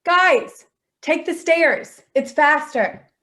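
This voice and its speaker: A woman's voice: sits high, very slow, sharp tone, full character voice. A woman playing an exasperated, sarcastic grown daughter and protective mother in a staged play reading, sounding weary and sardonic.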